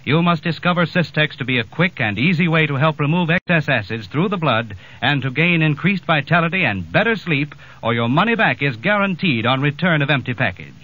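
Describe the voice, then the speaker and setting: A man's voice: a little high, animated, brisk, hardly any pauses, no room sound. A male announcer reading a 1940s radio advertisement in a persuasive way with careful enunciation. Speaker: commercial announcer. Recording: muffled old radio recording.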